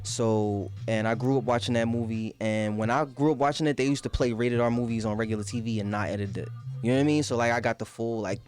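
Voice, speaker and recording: A man's voice: medium pitch, fairly even intonation, quick pace, a little mellow, faint music behind. Profane, rambling New York man. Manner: loose, profane, rambling storytelling. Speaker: podcast guest. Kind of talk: casual chat.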